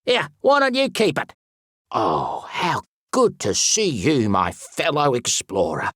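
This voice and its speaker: A man playing a fussy, excitable and pompous explorer and wildlife expert in an animated video game, speaking theatrically with whimsical made-up words. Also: on the high side, slow pace, no room sound, studio quality, exaggerated voice. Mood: worried, earnest. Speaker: voice actor.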